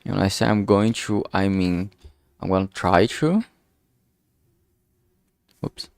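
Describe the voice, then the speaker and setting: A man with a fairly low, very creaky voice talks casually through an art stream. He rambles informally and pokes fun at himself, in a close, dry recording. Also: animated, takes it slowly, no echo. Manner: informal, sweary, self-deprecating. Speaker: streamer making a visual novel.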